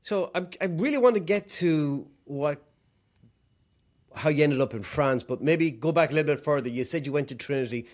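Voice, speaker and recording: A man's voice: fairly high pitch, moderate pace, a little mellow. Irish man hosting a podcast. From Ireland. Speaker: podcast host. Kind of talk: conversational interview.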